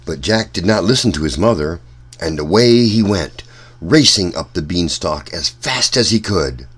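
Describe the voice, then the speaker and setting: Deep-voiced, expressive male storytime reader. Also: normal speed, breathy edge. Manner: expressive, addresses young listeners. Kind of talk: children's storybook narration.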